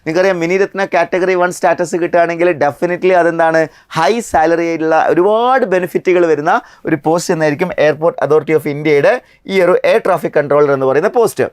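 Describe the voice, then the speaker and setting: A man's voice: on the high side, fast, clear, resonant tone, no echo, studio quality. A man with a Malayali accent presenting an explanatory exam lesson as a coaching instructor, addressing viewers directly with rhetorical questions.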